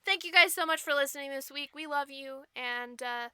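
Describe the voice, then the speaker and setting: A woman's voice: high voice, a little fast, bright, forceful tone, no echo, clean studio sound. A woman co-hosting a podcast with casual comedic banter, playful, exclamatory and freely swearing. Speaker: podcast co-host.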